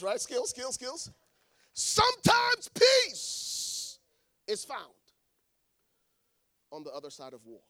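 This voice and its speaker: A man preaching a sermon to a congregation, swinging between hushed lines and shouted ones. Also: a little high. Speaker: pastor. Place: church in front of a congregation.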